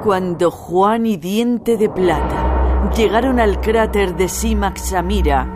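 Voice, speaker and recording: An actress playing the measured, dramatic narrator of a fantastical adventure radio serial. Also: female voice, medium pitch, music in the background, lightly acted. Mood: dramatic storytelling. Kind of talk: old radio serial storytelling.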